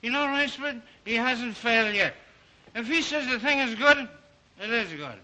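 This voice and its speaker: An actor playing a tipsy, boastful American playboy, slangy and boisterous, in old comic-relief style. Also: male voice, very high voice, lively intonation, normal speed, pushed and bright, full character voice, poor audio. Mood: chummy, boastful. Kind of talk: old film-era comic-relief acting.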